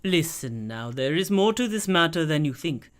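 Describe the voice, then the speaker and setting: An actor playing a composed mystic swami in a radio play, speaking formally and in measured phrases. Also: male voice, a little high, very animated. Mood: solemn.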